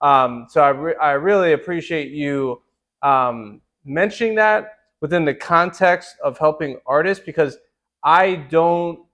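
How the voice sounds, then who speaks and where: A man's voice: fairly high pitch, measured pace, a bit bright. A man hosting a podcast interview, talking enthusiastically in long run-on sentences. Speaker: podcast host. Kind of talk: casual interview talk.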